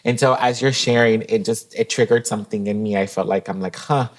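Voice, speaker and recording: A man's voice: lowish voice, fairly even intonation, fairly quick. A man co-hosting a podcast in casual chat, reflective and heartfelt, slipping Spanish phrases into his English. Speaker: podcast co-host.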